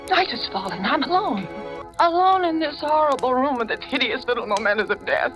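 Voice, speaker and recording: An actress playing a terrified, trapped woman in an old-time radio horror drama, speaking in a breathy, faltering, melodramatic way. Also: high-pitched, animated, a little fast. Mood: desperate dread. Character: terrified, trapped woman guest fearing for her life. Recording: vintage radio drama, music underneath.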